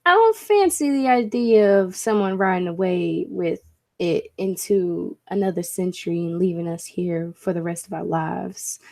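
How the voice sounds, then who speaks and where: A woman's voice: lowish voice, lively intonation, moderate pace, dark tone. A woman playing a proud, driven inventor and time traveler in a 1940s-style radio play, speaking in formal, theatrical phrases. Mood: assured.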